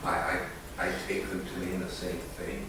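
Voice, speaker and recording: A man's voice: low-pitched, little pitch movement, moderate pace, creaky, noisy background. A man giving an academic conference talk as an economist, hesitant, with many fillers and restarts, recorded in an echoey hall from a distant mic.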